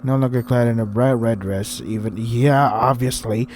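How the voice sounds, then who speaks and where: A man's voice: medium pitch, steady tone, moderate pace, a little mellow. A man reading a visual novel aloud and commenting on it in an irritated, sarcastic way with heavy swearing. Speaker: let's play host.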